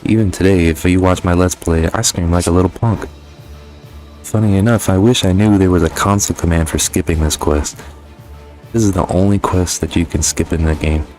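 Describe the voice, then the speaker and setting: A man's voice: deep voice, steady tone, a little fast, a little mellow, faint music behind. A young man giving a casual, sweary video game review as a gaming YouTuber. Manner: informal, heavily sweary.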